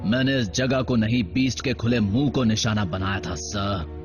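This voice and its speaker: A man's voice: on the low side, moderate pace, close to an everyday voice. A man playing a brash, loyal robot soldier in a cartoon dub, with a cocky, wry manner. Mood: wry, defensive. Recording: dull audio, music behind.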